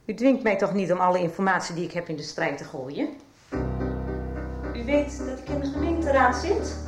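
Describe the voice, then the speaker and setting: A woman's voice: medium pitch, lively intonation, normal speed, reverberant, soft background music, lightly acted. An actress playing an assertive middle-aged mother in a radio soap, firm and formally polite as she stands her ground. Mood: firm, combative. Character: assertive divorced mother standing up to a school official. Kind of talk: 1990s radio soap acting.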